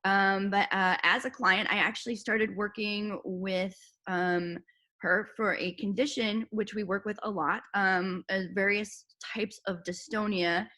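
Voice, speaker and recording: Bright-voiced woman hosting an interview. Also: mid-range voice, steady tone, a little slow. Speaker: interview host; movement practitioner. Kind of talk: conversational interview with a guest.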